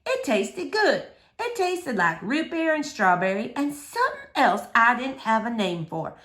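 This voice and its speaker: A woman reading a children's book aloud as scripted, expressive narration. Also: a little high, measured pace. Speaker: storybook reader.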